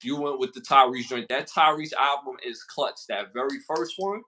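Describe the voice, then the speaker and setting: A man's voice: mid-range voice, normal speed, sharp tone. A man with a Brooklyn accent co-hosting a casual podcast, slangy and repeating himself as he reads out list questions. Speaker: podcast co-host.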